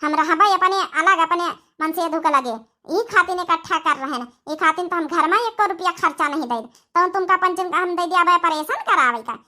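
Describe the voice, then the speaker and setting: Woman as a shrill, haughty younger daughter-in-law. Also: normal speed, hardly any pauses, bright, forceful tone, full character voice. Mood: indignant. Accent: Awadhi. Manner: scolding, boastful. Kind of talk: cartoon comedy acting.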